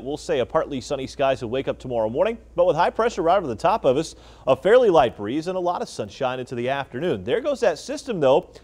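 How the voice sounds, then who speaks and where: A man's voice: mid-range voice, darkish tone. An American man presenting a weather forecast on television in a live broadcast style.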